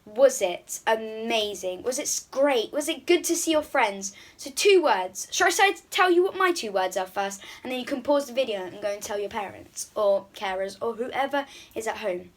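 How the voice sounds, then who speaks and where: Female children's story host, highish voice with very animated pitch, encouraging and direct with young listeners. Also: normal speed, hardly any pauses.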